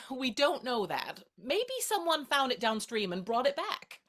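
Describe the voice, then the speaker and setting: An actress playing a playful, tender young woman in a play reading, gently teasing as she courts her friend. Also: high-pitched, very animated, unhurried, exaggerated voice. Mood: excited. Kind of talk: two-hander stage play reading.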